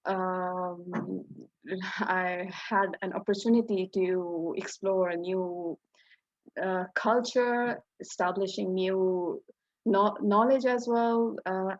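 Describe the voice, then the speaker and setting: A woman's voice: mid-range voice, fairly even intonation, takes it slowly. A woman in her thirties with a Pakistani accent, introducing herself as a scientist on a webinar panel over a video call. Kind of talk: prepared self-introduction with hesitations. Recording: roomy, dull video-call audio.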